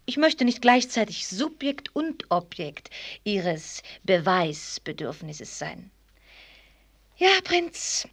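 An actress in a German radio play voicing a proud, ironic young heiress with a formal, teasing manner. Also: female voice, medium pitch, creaky, exaggerated voice. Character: proud, mocking young millionaire's daughter who rides fast.

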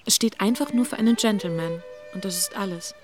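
An actress as a shy young niece in a period radio play. Her medium-pitched voice is earnest and dutiful, with a romantic streak, set over clearly heard music. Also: fairly quick, natural voice. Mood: earnest, admiring. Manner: earnest, deferential. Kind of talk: German radio drama acting.

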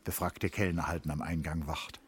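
A man narrating a literary radio drama in a measured, sombre manner. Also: rumbling low, flat delivery, husky.

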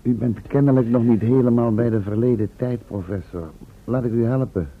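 A man acts a calm companion in a radio drama. He is dry, helpful and a little pedantic, in a mid-range voice. The recording sounds like a phone line. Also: mid-range voice, brisk, natural voice. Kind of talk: radio play acting.

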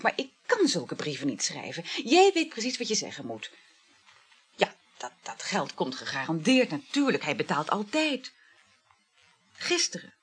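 A gushing, flirtatious woman, animated and husky. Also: mid-range voice, exaggerated voice. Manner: chatty, effusive, sighing exclamations. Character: flirtatious, gushing, money-strapped woman juggling several lovers. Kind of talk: naturalistic radio play acting.

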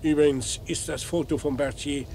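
An actor playing a brisk, probing police inspector who questions and orders tersely in an old German crime radio play. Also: male voice, fairly high pitch, fairly even intonation, a little mellow, a little hiss or hum, natural voice. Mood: commanding.

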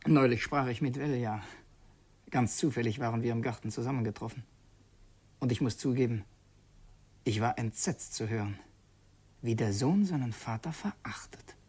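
An actor playing a brooding secretary who is secretly a revolutionary assassin, speaking haltingly and reflectively in a German radio drama, at times near a whisper. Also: male voice, medium pitch, darkish tone, slightly rough, close to an everyday voice. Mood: brooding, intimate.

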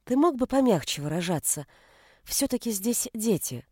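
A woman's voice: mid-range voice, normal speed, a little mellow, no echo, studio quality, close to an everyday voice. A woman voicing a strained, protective mother of small children in an audiobook. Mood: gentle. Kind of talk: audiobook character voice.

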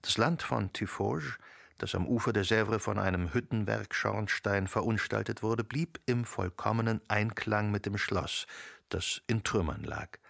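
A man reading literary narration for a radio play in a measured, descriptive storytelling manner. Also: lowish voice, vocal fry, a bit bright, dry recording, clean studio sound.

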